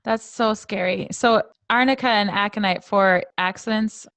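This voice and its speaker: A woman's voice: medium pitch, moderate pace, a bit bright, dry recording. A woman hosting a casual conversational interview, responding to her guest in a supportive, encouraging way. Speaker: podcast host, interviewer.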